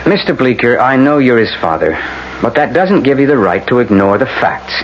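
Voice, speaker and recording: An actor playing a blunt, sardonic middle-aged American defense lawyer in a radio drama. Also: male voice, medium pitch, very animated, normal speed, natural voice. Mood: weary, firm. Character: blunt, world-weary male defense lawyer. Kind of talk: 1980s radio drama acting. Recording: muffled, noisy old radio broadcast.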